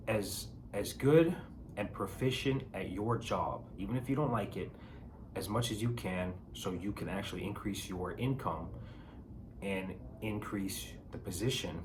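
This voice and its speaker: Hoarse man host, raspy and low, chatty and emphatic, over steady background noise. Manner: chatty, emphatic, frequent fillers and rhetorical questions. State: hoarse from a cold. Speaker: bitcoin video host. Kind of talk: casual talking-to-camera advice.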